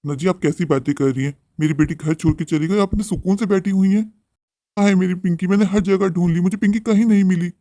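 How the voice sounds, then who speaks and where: A man's voice: sits high, brisk, dark tone, no echo, clean studio sound, lightly acted. A man voicing an anxious, devoted father in a cartoon melodrama, with a worried, questioning manner. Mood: alarmed, accusing. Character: anxious, devoted father searching for his daughter. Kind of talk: melodramatic Hindi cartoon moral story.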